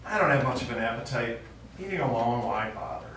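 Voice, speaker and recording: A man's voice: medium pitch, very animated, a little fast, natural voice. An older man playing a stubborn, forgetful elderly widowed father in a live stage play, wistful and contrary as he rambles. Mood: nostalgic, contrary. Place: small indoor theatre stage, recorded from afar. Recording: very echoey theatre, distant mic, faint noise.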